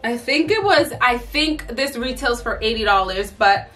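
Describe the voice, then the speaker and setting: A woman's voice: a little high, lively intonation, normal speed, bright, forceful tone, faint music behind. A woman in her thirties chatting casually and tiredly to camera in a bedroom vlog, with lots of ums and likes. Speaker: vlogger. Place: bedroom at home.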